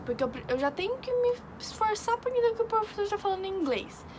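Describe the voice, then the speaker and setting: A woman's voice: extremely high pitch, very animated, quick pace. A young woman from Brazil, an exchange student, telling a rambling story in casual chat with lots of fillers. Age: young adult. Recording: noisy background.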